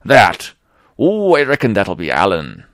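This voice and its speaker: A man voicing a crafty, wheedling old male pirate cook who speaks in rough sailor's dialect. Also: on the low side, huge pitch swings, a little slow, fairly bright tone, dry recording, studio quality, heavily acted. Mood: wary.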